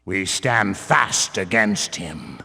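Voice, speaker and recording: Zealous, declaiming medieval temple guard in reverb. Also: male voice, low-pitched, very animated, slow pace, creaky, pushed and bright, slightly rough, full character voice. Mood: furious, righteous. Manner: archaic pompous speech, declaiming. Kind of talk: theatrical video game voice acting. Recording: long cavernous reverb.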